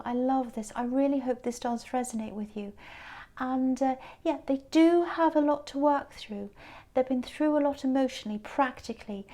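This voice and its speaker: A tired woman, giving a gentle, reassuring tarot reading that sometimes drops to a whisper. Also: high-pitched. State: tired, croaky voice. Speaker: tarot reader.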